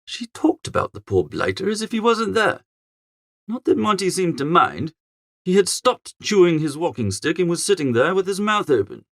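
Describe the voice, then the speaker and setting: A man playing a flustered, foppish young English gentleman who narrates a comic audiobook in a chatty, melodramatic, exasperated way. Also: high-pitched, very animated, moderate pace, vocal fry, no room sound, clean studio sound, exaggerated voice. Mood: appalled.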